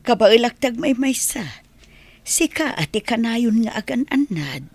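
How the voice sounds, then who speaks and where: A woman with an Ilocano accent playing an anxious, tender village mother in a radio drama, with a husky voice. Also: a little high, big pitch swings, a little slow, a little mellow, no echo, exaggerated voice. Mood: tender, resigned. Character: elderly village mother, tender, anxious for her departing son. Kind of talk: Filipino radio drama acting.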